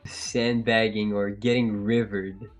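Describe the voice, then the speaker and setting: A man from Algeria whose first language is French, co-hosting a live stream in relaxed, simple wording. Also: lowish voice, takes it slowly. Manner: relaxed, plain wording, restarts. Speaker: trading stream co-host. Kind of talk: casual live-stream chat. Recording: faint background music.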